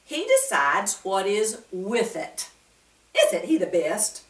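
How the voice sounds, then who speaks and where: A woman's voice: mid-range voice, very animated, slow, fairly bright tone. A woman reading a children's story aloud with expression, asking her young listeners questions as she goes. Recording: roomy, distant mic.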